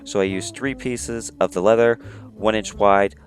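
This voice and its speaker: Sick man with a low raspy voice. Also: steady tone, normal speed. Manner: plain step-by-step instructions, many "you're gonna". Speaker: cosplay prop maker, channel host. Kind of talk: voice-over craft tutorial. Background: faint music.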